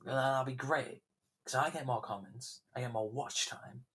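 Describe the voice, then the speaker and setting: A man's voice: mid-range voice, moderate pace, fairly bright tone. A young man talks loosely on his own podcast, drifting from thought to thought with frequent fillers and restarts. His throat sounds slightly sore as he goes on. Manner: rambling, chatty, many fillers and restarts. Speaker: podcast host. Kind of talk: casual solo podcast chat.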